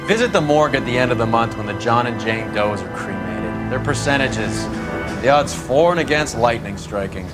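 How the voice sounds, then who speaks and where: An actor playing a cynical, sneering American police detective in a video game, blunt and sardonic. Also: male voice, mid-range voice, animated, moderate pace, over loud music, close to an everyday voice. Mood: cynical, dismissive. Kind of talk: video game cutscene acting.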